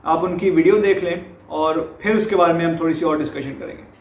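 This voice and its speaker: Male news presenter, Pakistani accent, high register, explanatory and emphatic through repetition, roomy echoing sound. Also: steady tone, brisk, darkish tone. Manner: explanatory, repeats points for emphasis. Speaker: host presenting a news report. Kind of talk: explanatory news commentary to viewers. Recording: echoey room.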